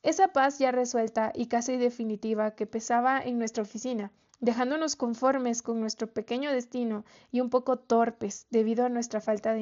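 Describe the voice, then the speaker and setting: A woman's voice: fairly high pitch, a little mellow. A woman reading literary fiction aloud as an audiobook narrator, carefully, now and then stumbling and repeating a word. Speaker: audiobook reader. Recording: slightly dull, lo-fi sound.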